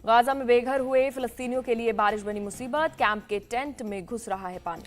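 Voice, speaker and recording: Woman, Indian accent, news anchor, high voice, scripted headline delivery, music behind. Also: brisk. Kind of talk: scripted rapid-fire news headline reading.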